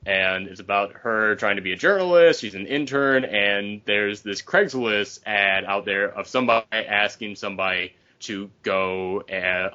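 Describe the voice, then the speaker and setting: A man's voice: lowish voice, bright, forceful tone. A man chatting casually as a podcast member about films, rambling and qualifying as he goes. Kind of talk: casual panel chat. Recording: dull lo-fi online call.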